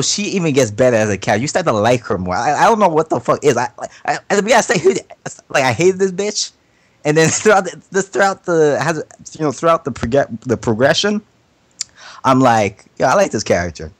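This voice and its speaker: A man chatting casually on an anime podcast, rambling through his opinions in slangy, profane, halting speech full of repetition. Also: a little high, a bit bright, no echo.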